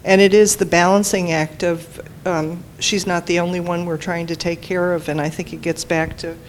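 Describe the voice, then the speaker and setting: A woman panelist with a lower voice answers briskly and affirmingly. There is a slight noise floor under her speech. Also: level tone. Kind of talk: panel discussion.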